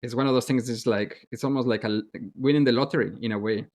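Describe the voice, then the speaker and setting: A man's voice: medium pitch, steady tone, quick pace, darkish tone. A man hosting a podcast interview, asking questions with enthusiastic, effusive praise. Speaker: podcast host.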